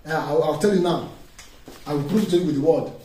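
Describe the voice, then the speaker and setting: A man preaches a sermon, high in pitch for a man and emphatic, often pausing to draw answers from his listeners. His voice reaches the mic from some distance and rings around an echoey church hall. A faint hum of noise runs under it. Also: warm tone. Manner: emphatic preaching with call-and-response questions. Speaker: pastor.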